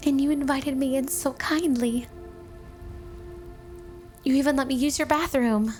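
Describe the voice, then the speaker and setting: A woman's voice: high-pitched, steady tone, measured pace, darkish tone, soft background music. A woman playing a flirty, doting, obsessive snow woman wife in an intimate ASMR roleplay, teasing and coy. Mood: gushing, obsessive.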